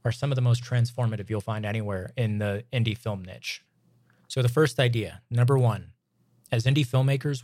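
A man's voice: mid-range voice, darkish tone, no room sound. Conversational man teaching, close dry sound. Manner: informal, emphatic, slangy. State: slightly hoarse from long reading. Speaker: podcast host and filmmaking instructor. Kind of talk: scripted lesson read aloud, conversational.

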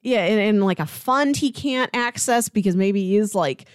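A woman's voice: mid-range voice, lively intonation, moderate pace, smooth, dry recording, studio quality. A woman co-hosting a podcast in casual, chatty conversation, with emphatic run-on asides. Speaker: podcast co-host. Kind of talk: casual TV recap discussion.

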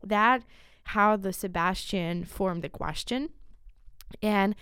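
A young Ukrainian woman hosts a solo podcast in accented English, her native language being Ukrainian. Her voice is clean and smooth, at an average pitch for a woman, in a very dry recording. She speaks earnestly and haltingly, often repeating a word to stress it. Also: fairly bright tone, no echo. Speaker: podcast host. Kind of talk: informal solo podcast monologue.

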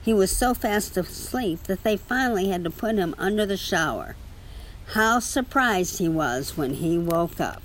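A woman's voice: mid-range voice, animated, measured pace, slight background noise, rough recording. A woman reading a children's story aloud to a child, with casual asides.

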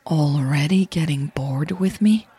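A woman voicing a smitten, cheeky woman in an audio romance, husky, with a playful drawl. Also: sits low, measured pace, dark tone, no echo, heavily acted. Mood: playful pouting.

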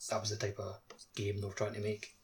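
Male podcast co-host, lowish monotone, loose hedging casual talk. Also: brisk, a crowd in the background. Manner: loose, hedging phrasing heavy with "like" and "kinda". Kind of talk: casual discussion.

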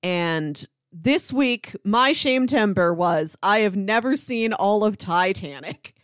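A woman's voice: medium pitch, lively intonation, slow, clean tone, no room sound, studio quality. A woman co-hosting a movie podcast with casual, playful, mock-earnest chat. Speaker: podcast co-host.

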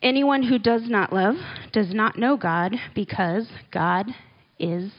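A woman giving a relaxed, conversational Bible teaching, with small laughs and asides as she explains scripture. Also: medium pitch, slow pace, dull audio. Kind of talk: relaxed church teaching talk.

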